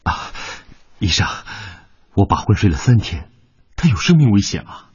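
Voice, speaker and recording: An actor playing an emotional middle-aged son and former soldier in a radio drama, with sighs and exclamations. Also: male voice, lowish voice, very animated, normal speed, a little mellow, husky, no echo, heavily acted. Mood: anxious, distressed. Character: devoted, emotional Chinese son, ex-soldier and single father. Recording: dull lo-fi, music underneath.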